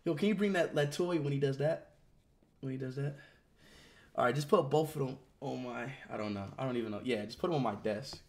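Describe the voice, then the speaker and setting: A young man livestreaming from his bedroom on a headset mic, loud and excitable, often yelling at his chat. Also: mid-range voice, a little fast. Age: young adult.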